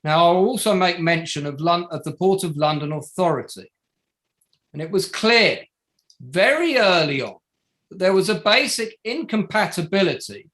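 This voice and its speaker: An actor playing an indignant barrister in a play reading, making formal submissions to an inquiry in a deliberate, emphatic way with pauses between short phrases. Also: male voice, sits high, pushed and bright, heavily acted. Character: indignant male barrister addressing a public inquiry. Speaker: actor in an online table read.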